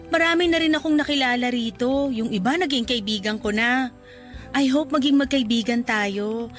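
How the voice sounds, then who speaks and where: A woman's voice: high voice, a bit bright. A woman with a Filipino accent playing a playful, encouraging young volunteer in a conversational radio drama. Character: friendly, encouraging, outgoing young woman volunteer, a self-described introvert. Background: background music.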